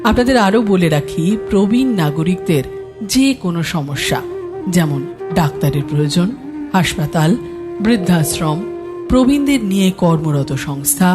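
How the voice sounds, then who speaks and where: A woman reading a scripted podcast announcement. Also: on the low side. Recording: music bed behind the voice.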